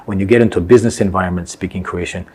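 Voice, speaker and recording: Deep fast-talking Canadian-raised man. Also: fairly even intonation, a little mellow, breathy edge. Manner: chatty, repeats words, laughs often. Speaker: former firm partner, interviewer. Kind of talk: reminiscing conversation.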